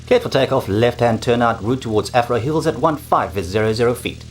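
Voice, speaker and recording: A man playing a pilot, reading back clearances in scripted radio-call practice. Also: mid-range voice, fairly quick, a little hiss or hum, natural voice. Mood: procedural. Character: male pilot calling the tower.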